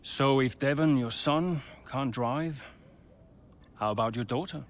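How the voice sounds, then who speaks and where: An actor playing an earnest, persuasive racing team boss in a video game, with a reasoning, coaxing manner. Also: male voice, mid-range voice, a little slow, a little hiss or hum, close to an everyday voice.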